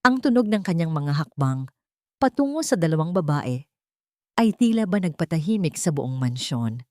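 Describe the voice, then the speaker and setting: A Filipino-accented woman narrates a scripted audiobook drama. Her voice sits a little low for a woman. The recording is very dry, with no room sound. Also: sing-song, normal speed, mellow, no echo.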